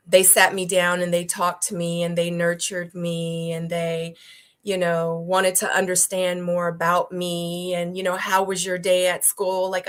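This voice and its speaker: A woman's voice: fairly low pitch, fairly bright tone. A woman in her thirties, born in South Korea with Korean as her first language, raised in the United States, telling her story in a casual interview with many ums and easy laughs. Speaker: interview guest.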